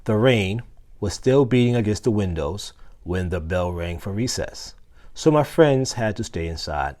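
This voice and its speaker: A man reading a children's story aloud in an expressive storytelling style, acting out dialogue with the odd stumble. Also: fairly low pitch, a little slow. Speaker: assistant principal reading a children's book aloud.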